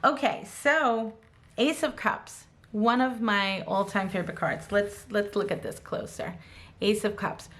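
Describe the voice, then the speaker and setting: A woman giving a casual tarot reading to her viewers, gushing and enthusiastic, her voice slightly hoarse, with many ums and restarts. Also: mid-range voice, steady tone, measured pace, fairly bright tone. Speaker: tarot reader.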